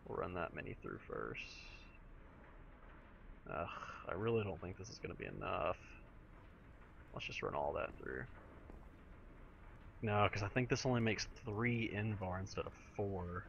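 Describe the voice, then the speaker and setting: A man with a slightly hoarse, raspy voice chatting to himself while playing through a modded game. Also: low-pitched, unhurried, pauses often, a bit bright, muffled recording, a little hiss or hum. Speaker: let's play gamer. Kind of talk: casual gameplay commentary.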